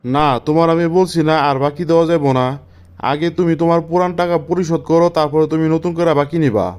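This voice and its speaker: A man's voice: on the high side, fairly even intonation, quick pace, darkish tone, smooth, lightly acted. A man voicing a stern, scolding cartoon shopkeeper with a Bangladeshi accent. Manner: scolding, blunt. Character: stern, grumbling male village grocery shopkeeper refusing credit. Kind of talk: cartoon voice acting.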